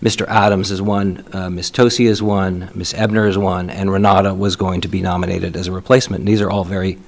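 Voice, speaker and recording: A man chairing a city council meeting, speaking in a halting way with frequent restarts and fillers as he runs votes and introduces items. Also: low-pitched, level tone, creaky.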